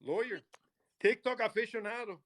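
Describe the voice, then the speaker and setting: Young puerto rican dude, kinda high voice, jokey live stream co-host. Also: male voice, moderate pace, a bit bright. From Puerto Rico. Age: 20s. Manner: playful, teasing, jokey. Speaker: podcast co-host. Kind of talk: casual live-stream chat.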